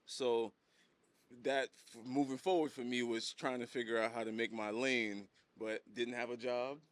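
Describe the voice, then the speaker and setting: A man's voice: mid-range voice, fairly even intonation, normal speed. A man in his thirties, born in Haiti and raised, telling his story as a podcast guest in a laid-back way, chuckling often and repeating words as he goes. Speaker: guest, hat brand designer. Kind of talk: casual interview storytelling.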